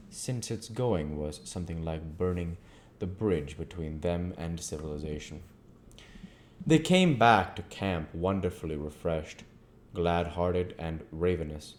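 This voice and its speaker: A man's voice: low-pitched, darkish tone. A man reading a classic novel aloud in the style of an amateur audiobook narrator.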